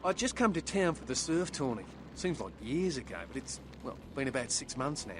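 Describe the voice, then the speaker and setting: A man's voice: mid-range voice, big pitch swings, a little fast, over music, lightly acted, rough recording. A man playing a young surfer turned outcast vampire in a video game, wistful and bitter, with a folksy, plain-spoken manner. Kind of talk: video game dialogue acting.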